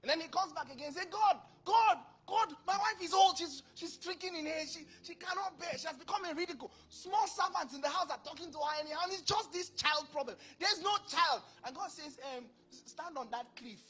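A man in his thirties preaching a sermon into a handheld mic on a large church stage, acting out dialogue with sudden bursts of loudness. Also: extremely high pitch, brisk, hardly any pauses. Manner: animated, acts out dialogue, bursts of volume. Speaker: pastor.

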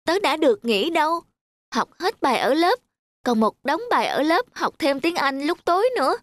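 A woman's voice: high voice, big pitch swings, moderate pace, dry recording. A woman voicing a weary, plaintive, obedient little schoolboy in a cartoon. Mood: weary, wistful. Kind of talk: cartoon dubbing.

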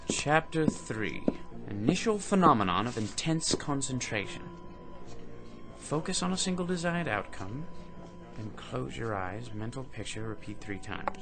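An American actor playing a fussy, sardonic office worker in a radio drama, dry, pompous and condescending. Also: male voice, medium pitch, sing-song, unhurried, very noisy. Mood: intrigued, smug. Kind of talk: full-cast radio drama acting.

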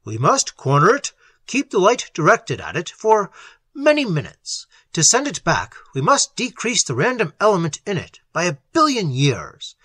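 Man acting a forceful, urgent scientist hero. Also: high-pitched, huge pitch swings, moderate pace, slightly rough, no room sound, voice pushed up, full character voice. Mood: grave, insistent. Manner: forceful, emphatic, explanatory. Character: urgent male scientist at the wheel, commanding, explaining monster lore. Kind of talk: dramatized horror story reading.

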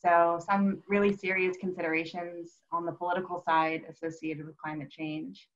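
Flat-toned woman on a dull call line. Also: on the low side, moderate pace. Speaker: political science professor. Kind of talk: panel self-introduction. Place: home room with wall map, video call. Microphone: webcam on video call.

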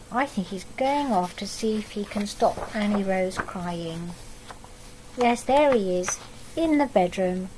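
A middle-aged woman reading a picture book aloud to young children, expressive and adding asides about the pictures. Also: medium pitch, slight background noise, poor audio. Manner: expressive storytelling that pauses to comment on the pictures. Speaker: storybook reader for young children. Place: living room at home, indoors.

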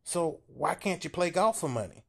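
Guy putting on a squeaky worked-up little old man, nagging, high strained voice. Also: very animated, moderate pace, creaky, a bit bright, exaggerated voice. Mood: scolding. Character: timid short old man, angry and scolding.

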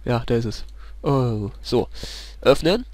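A man's voice: mid-range voice, expressive, takes it slowly, darkish tone, no echo. A man casually commenting a co-op game, chatty and swearing whenever he dies. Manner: chatty, swears, laughs at deaths. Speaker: let's play commentator. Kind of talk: casual gaming chat.

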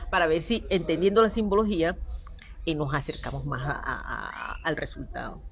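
A middle-aged Colombian woman with a Colombian accent, explaining her art project in conversation, animated but hesitant, often repeating words. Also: low voice, normal speed, lo-fi sound. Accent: Colombian Spanish. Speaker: artist, interview guest. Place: indoor seating area with a painted wall.

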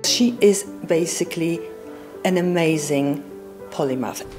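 A woman giving interview commentary in measured, hesitant phrases. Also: deep voice, music in the background.

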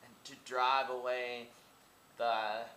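A man's voice: mid-range voice, level tone, slow pace, sharp tone, echoey room, slight background noise. A young man from Oregon rambling to his webcam in a small room, firing off rhetorical questions and repeating himself. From Oregon, United States. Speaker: vlogger. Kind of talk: casual monologue to camera. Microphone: webcam at close range.

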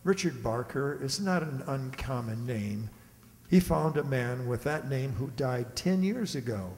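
A man's voice: medium pitch, lively intonation, a little slow, darkish tone, natural voice. An older man plays a matter-of-fact police inspector in an old-time radio mystery. His mid-range voice explains things steadily and brushes off fears. The hall echoes around him. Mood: explanatory. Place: stage in a community hall. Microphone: stand microphone on stage. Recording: echoey hall, live stage performance.

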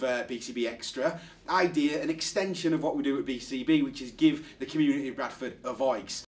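A man's voice: on the high side, far from the mic. A middle-aged man explaining his youth project work in an interview. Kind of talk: interview testimony. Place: plain room, indoors.

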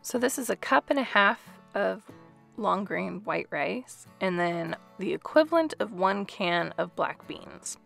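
Chatty, slightly gravelly mom vlogger. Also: female voice, medium pitch, expressive, unhurried, fairly bright tone, faint music behind. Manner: chatty, with light laughs. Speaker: family vlogger. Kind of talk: casual cooking vlog voice-over.

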